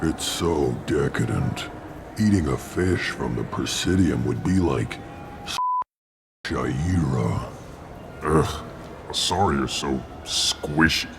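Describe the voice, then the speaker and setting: Gruff low alien bruiser, swinging delivery, station hum behind. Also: male voice, expressive, takes it slowly, long pauses, full character voice. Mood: greedy. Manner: rough, greedy and blunt. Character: gruff alien brute craving a delicacy.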